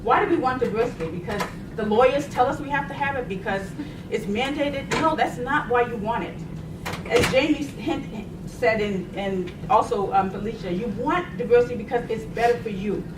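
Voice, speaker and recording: A woman's voice: a little high, moderate pace. A middle-aged woman from Boston speaking on a panel in a chatty way, laughing as she tells stories. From Boston, United States. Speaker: panelist, science agency program director. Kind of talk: conversational panel talk. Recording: echoey room, distant mic, noisy.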